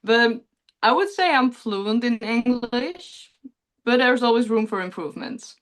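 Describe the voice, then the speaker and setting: A woman's voice: on the high side, measured pace. A young woman with a Dutch accent, a pageant contestant answering interview questions in earnest, chatty talk over a video call, often laughing. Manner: earnest, chatty, laughs often, relives moments with lively quoting.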